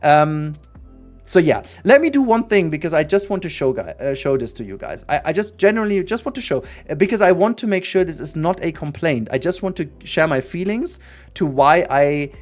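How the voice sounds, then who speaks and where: A man's voice: on the high side, animated, brisk, soft background music. A young man who is not a native English speaker, talking earnestly and rambling in a casual livestream chat, with many restarts. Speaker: streamer.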